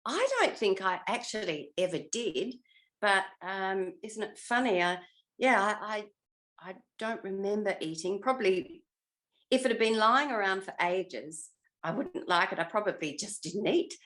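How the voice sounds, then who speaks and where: A woman's voice: medium pitch, normal speed, a bit bright. An older woman, over sixty, chatting reflectively as a retired actress in an interview, thinking aloud and letting her sentences trail. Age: 60s or older. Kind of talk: reflective casual interview chat.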